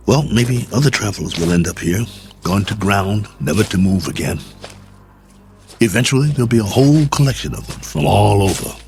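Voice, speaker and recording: An actor playing a lonely, wry stranded astronaut colonel, musing aloud in a radio drama monologue. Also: male voice, fairly low pitch, a little hiss or hum. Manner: reflective, wry, conversational musing. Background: music.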